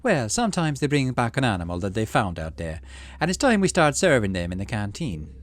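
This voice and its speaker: A man voicing a kindly, practical aunt from a game, explaining things in a gently persuasive way. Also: medium pitch, very animated, a little fast, natural voice. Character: kindly, practical aunt running a colony kitchen. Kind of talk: game dialogue read aloud.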